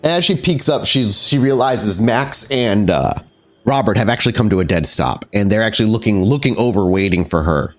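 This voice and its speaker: A man narrating a tabletop roleplaying game as game master, in a halting, conversational way. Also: fairly low pitch, expressive. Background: music.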